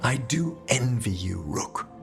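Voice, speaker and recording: A man's voice: medium pitch, very animated, takes it slowly, creaky, over music, full character voice. A man voicing a genteel, curious scholarly necromancer in a video game, speaking politely and eloquently. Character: courtly, curious scholarly male necromancer companion.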